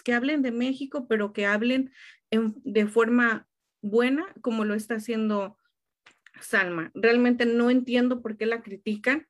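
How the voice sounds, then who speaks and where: A woman in a casual conversation, speaking emphatically and restarting her phrases often. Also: on the high side.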